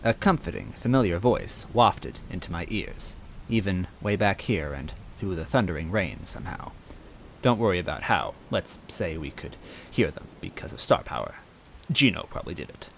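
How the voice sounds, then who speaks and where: A man reading a scripted comic story as narrator, with wry, deadpan asides. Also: deep voice, moderate pace, gravelly, rough recording. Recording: rain noise and game music behind.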